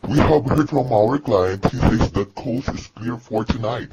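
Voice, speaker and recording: A man's voice: medium pitch, unhurried, noisy background, natural voice. A man playing a cold crime boss in a radio drama, giving orders in a matter-of-fact, commanding way.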